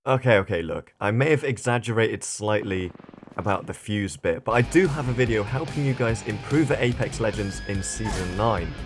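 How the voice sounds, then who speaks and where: A man with a croaky, hoarse voice, giving a brisk tutorial voice-over with self-mocking asides. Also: lowish voice, expressive, music in the background. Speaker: gaming YouTuber.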